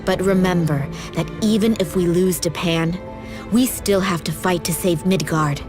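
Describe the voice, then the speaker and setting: A woman voicing a resolute, composed female warrior in a fantasy game, measured and serious. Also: on the low side, over music, close to an everyday voice.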